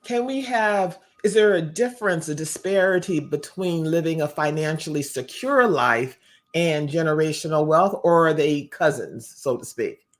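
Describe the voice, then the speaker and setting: An interviewer hosting the conversation, asking thoughtful questions with careful, measured phrasing. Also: mid-range voice, expressive. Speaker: interviewer, host.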